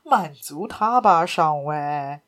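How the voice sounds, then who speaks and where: A woman's voice: deep voice, lively intonation, slow, pitched below the performer's own voice. A woman voicing a cornered old male villain in an audio story, whispering a plea and then sneering.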